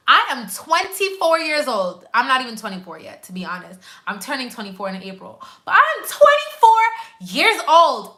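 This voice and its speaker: A woman's voice: sits high, sharp tone. A woman in her twenties, a nurse hosting a casual live stream, chatting animatedly and talking straight to her viewers while reading out their comments. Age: 20s. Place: at home on a couch.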